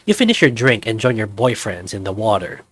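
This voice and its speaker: Man, story narrator, expressive intonation, scripted visual novel reading, light music underneath. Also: fairly low pitch, brisk, husky, dry recording.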